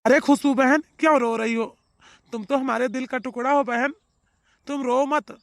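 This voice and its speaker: A man voicing a devoted, gentle adult brother in a melodramatic cartoon, earnest, emphatic and reassuring. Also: extremely high pitch, fairly even intonation, moderate pace, no echo, clean studio sound. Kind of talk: melodramatic Hindi cartoon family drama voice acting.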